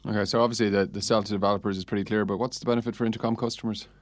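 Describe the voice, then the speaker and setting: Lowish-voiced male interviewer, brisk delivery. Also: level tone, no echo, clean studio sound. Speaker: podcast interviewer. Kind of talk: conversational interview questioning.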